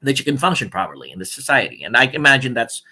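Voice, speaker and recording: Bright, forward-sounding male co-host. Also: medium pitch, sing-song, fast, breathy edge. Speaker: show co-host. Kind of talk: casual interview hosting.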